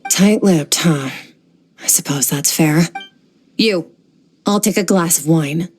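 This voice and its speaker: Aloof, low-voiced warrior woman. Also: slow pace, a little mellow, slight background noise. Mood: detached, blunt. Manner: detached, blunt, cryptic. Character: aloof, cool-headed mysterious warrior woman, blunt and detached. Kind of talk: anime-style video game voice acting.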